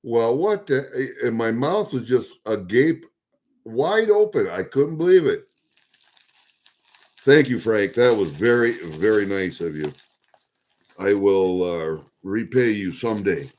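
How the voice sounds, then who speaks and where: A man in his sixties from Iowa with a Midwestern American accent, chatting to viewers in a rambling, halting, blunt and salty way. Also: on the low side, animated, darkish tone. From Iowa, USA. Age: 60s. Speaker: vlogger. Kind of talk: rambling casual chat to viewers. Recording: dull lo-fi home recording.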